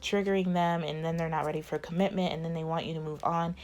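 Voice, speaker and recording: A woman's voice: sits low, steady tone, quick pace, darkish tone. A woman giving a casual tarot reading, rambling with many fillers while losing her voice. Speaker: tarot reader.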